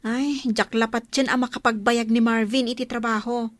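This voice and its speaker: Very high, blunt young Ilocano woman , acted. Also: steady tone, moderate pace, dry recording, lightly acted. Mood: boastful. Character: blunt, scolding, boastful young married woman friend. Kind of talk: Ilocano radio drama acting.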